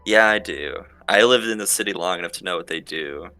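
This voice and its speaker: Deep, gravelly man gaming casually. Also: a little fast, bright, forceful tone, soft background music. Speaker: tabletop roleplay player. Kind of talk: casual in-character chat.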